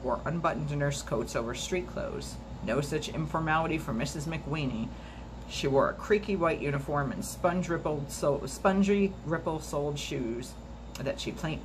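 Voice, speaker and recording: A woman reading a memoir aloud for a storytime video at a steady, measured pace, now and then stumbling and restarting a word. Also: a bass voice, noisy background. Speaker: storytime reader.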